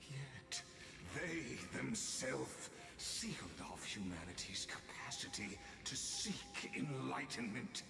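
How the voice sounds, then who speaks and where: Very deep, grandiose ancient villain. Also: male voice, heavily acted. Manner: grandiose, solemn, theatrical. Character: grave ancient scholarly villain, coldly bitter and nostalgic. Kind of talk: scripted video game voice acting. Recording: echoey long reverb under game music.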